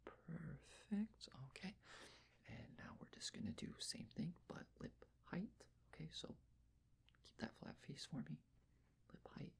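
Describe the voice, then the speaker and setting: Asmr guy whispering soft step by step instructions, super breathy, gentle and reassuring. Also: fairly high pitch, animated, measured pace. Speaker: ASMR roleplayer taking face measurements. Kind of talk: soft-spoken ASMR personal-attention roleplay.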